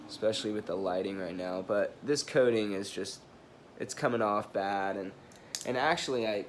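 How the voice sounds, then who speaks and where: A man's voice: fairly low pitch, slight background noise. A man with a stuffed-up nose from allergies or a cold, rambling apologetically through a casual product review with many fillers and restarts. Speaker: knife review vlogger.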